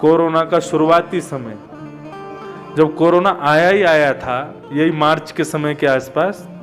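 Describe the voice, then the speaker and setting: High-voiced male katha preacher. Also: takes it slowly, faint music behind. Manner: conversational, animated storytelling with rhetorical questions. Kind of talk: devotional discourse with everyday anecdotes.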